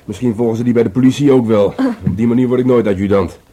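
An actor playing a wry, reflective police detective in a Dutch radio drama, speaking hesitantly and intimately. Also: male voice, medium pitch, quick pace, dark tone, close to an everyday voice. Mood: musing.

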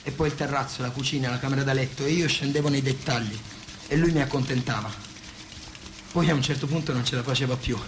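A man's voice: medium pitch, background noise, lightly acted. A man acting a weary, worn-down working man in a theatrical monologue, insistent and wistful. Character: weary working man, worn down, tenderly remembering his father. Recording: echoey room, loud music.

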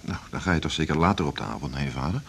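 A man's voice: rumbling low, expressive, very fast, gravelly, breathy edge, full character voice. A man acting in a 1980s Dutch radio drama as a brusque, authoritative doctor and mentor, curt and domineering, with a Netherlands Dutch accent. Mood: curt, coaxing.

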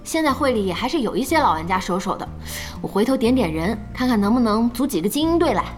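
A woman's voice: fairly high pitch, sing-song, quick pace, soft background music. An actress playing an earnest, supportive young woman who is a gaming partner in a Chinese audio drama. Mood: helpful.

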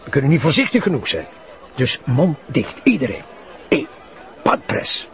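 Pompous rich old flemish guy in a radio play, bossy, very animated pitch, slips into french. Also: moderate pace, a faint crowd. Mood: conspiratorial, controlling. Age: older. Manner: pompous, peppers speech with French phrases. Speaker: actor. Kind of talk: radio drama acting.

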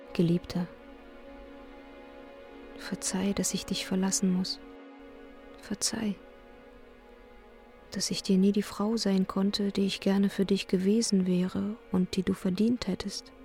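Sad young singer, soft and real slow, radio play, piano music clearly behind her. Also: medium pitch, little pitch movement. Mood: tender farewell. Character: melancholy young opera singer bidding farewell. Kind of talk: radio drama acting.

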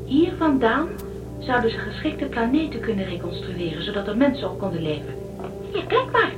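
Casting: excitable young female space fugitive, high and very lively, radio-drama style, slightly off-mic. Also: moderate pace, far from the mic, highs cut off, over music. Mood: awed, eager. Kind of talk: Dutch radio drama acting.

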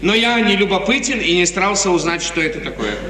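An actor playing an ardent, imperious young prince in theatrical 1950s Soviet radio drama style, given to rapturous, emphatic speech. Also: male voice, high-pitched, expressive, fast, a crowd in the background. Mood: impatient, curt. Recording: roomy, distant 1950s radio recording.

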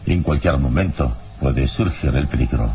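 An actor playing a calm, watchful heroic adventurer in a radio serial, speaking in a solemn, deliberate manner. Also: male voice, rumbling low, a little hiss or hum. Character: calm, watchful heroic adventurer mentoring his young companion. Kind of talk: old radio serial acting.

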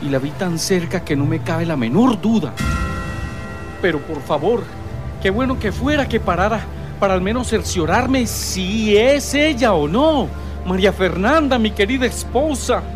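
A man's voice: sits high, expressive, a little slow. A man acting in a radio drama as an anguished, obsessive widower, speaking in a brooding, melodramatic monologue. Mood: anguished wonder. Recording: music behind the voice.

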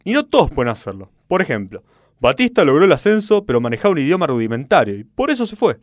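A man's voice: on the high side, big pitch swings, no echo, studio quality. A man with an Argentine accent playing a pompous, eccentric football club president in a radio comedy sketch. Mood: pompous, poetic. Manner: pompous, halting then grandiloquent.

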